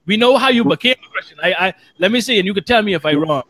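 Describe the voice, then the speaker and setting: A man hosts a casual podcast and keeps the questions coming in a brisk, prompting way. His voice sits fairly high, and he talks very quickly. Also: lively intonation, a bit bright. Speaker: podcast host.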